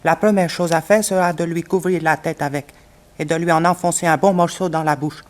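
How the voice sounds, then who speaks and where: An actor as a ruthless henchman with a fairly high male voice. He talks in a clipped, businesslike way, as in an old radio thriller. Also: fairly even intonation, brisk, close to an everyday voice. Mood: grim, secretive. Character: businesslike, ruthless male accomplice in a night attack. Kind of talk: 1960s French radio play acting.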